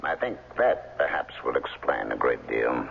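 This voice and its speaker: A man acting a menacing, smooth-talking right-hand man of a reclusive billionaire in a 1970s radio drama, with measured, politely veiled speech. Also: very deep voice, big pitch swings, full character voice. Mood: smooth, secretive. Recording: muffled, lo-fi 1970s radio with noise.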